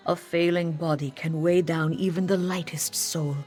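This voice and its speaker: Woman as low-voiced solemn cat-folk priestess. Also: fairly even intonation, normal speed, a little mellow, natural voice. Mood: grave, earnest. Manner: formal, speaks of self in third person. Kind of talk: scripted fantasy game dialogue. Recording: background music under the voice.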